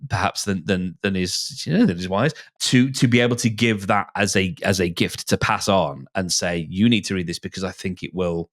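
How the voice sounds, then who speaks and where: A man with a lowish voice speaks as a guest on a discussion podcast. He is reflective and halting, repeating phrases as he works through each thought. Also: a bit bright.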